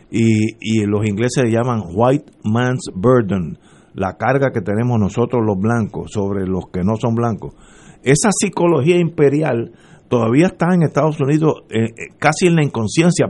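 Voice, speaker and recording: A man talks on a radio show, building his points through rhetorical questions. He speaks at a middling pitch, with frequent restarts as he presses each argument. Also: warm tone. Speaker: radio talk show host. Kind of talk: political commentary on talk radio.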